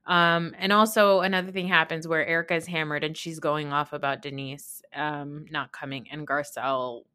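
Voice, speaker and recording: A woman's voice: low-pitched, steady tone, moderate pace, pushed and bright, smooth. A woman in her thirties hosting a podcast, giving casual, blunt and opinionated reality TV commentary with plenty of swearing and emphatic retelling. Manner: emphatic, mimics others when quoting. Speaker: podcast host.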